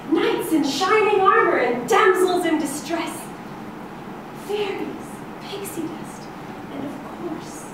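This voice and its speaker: Young woman, unhurried pace, expressive speech-giving, dramatic pauses, distant camera mic, loud background noise. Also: very high-pitched, heavy reverb. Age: young adult. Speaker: public speaker at a speaking club. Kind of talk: rehearsed humorous storytelling speech. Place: indoor meeting room. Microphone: far camera.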